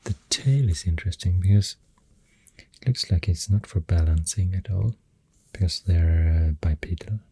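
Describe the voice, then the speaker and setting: A soft-spoken ASMR host with a Swedish accent, rambling gently and hesitantly in a hushed, whispery, breathy voice close to the microphone. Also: low-pitched, moderate pace. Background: pencil writing and clicking sounds.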